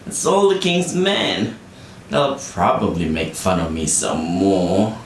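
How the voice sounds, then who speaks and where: A woman's voice: very deep voice, animated, slow pace, pitched below the performer's own voice, full character voice. A woman voicing a sulky, dejected male egg in a children's bedtime story, dismissive and resigned. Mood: gloomy. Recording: roomy, distant, faint noise.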